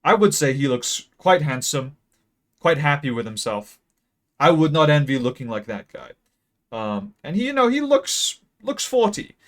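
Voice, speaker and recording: Rambling young man doing deadpan mock lecture. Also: a little high, moderate pace. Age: young adult. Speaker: video creator. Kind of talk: mock-serious graph explainer. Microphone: close mic that peaks.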